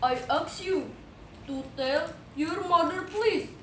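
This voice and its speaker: A woman as a kindly, preachy neighbour, high slow voice, puppet show, far-off echoey recording. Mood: polite. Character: kind, pious, scolding female neighbour. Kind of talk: amateur puppet show acting. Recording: distant mic, echoey noisy room.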